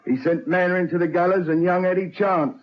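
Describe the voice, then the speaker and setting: A man's voice: high-pitched, fairly even intonation, fairly quick, lightly acted. An actor playing a dutiful prison officer in a radio drama, respectful to his superior but blunt and earnest. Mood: indignant. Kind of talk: old radio drama acting. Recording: dull, slightly noisy vintage radio recording.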